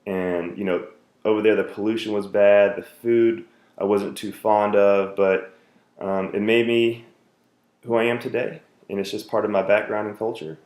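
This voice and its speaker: A man's voice: fairly low pitch, level tone, normal speed, darkish tone, roomy sound. A man in his thirties, from the United States, giving an earnest self-introduction speech for a class, with many fillers and restarts.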